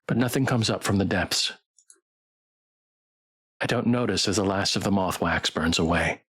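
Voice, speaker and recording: A man's voice: deep voice, normal speed, a bit bright, husky. A man reading a visual novel aloud as dramatic scripted narration. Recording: music behind.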